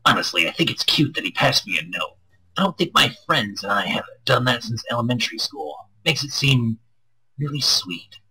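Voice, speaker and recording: A man with a husky, strained voice reading a visual novel aloud expressively, with crude joking asides and stumbles over lines. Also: medium pitch, lively intonation, normal speed, pushed and bright, low-quality recording. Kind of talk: expressive read-aloud with casual banter.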